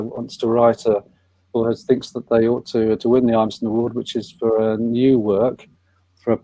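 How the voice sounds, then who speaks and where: A man hosting an online panel event, introducing guests and asking questions in a somewhat halting way. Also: medium pitch, warm tone, no echo, low-quality recording. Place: indoors on a live online video call.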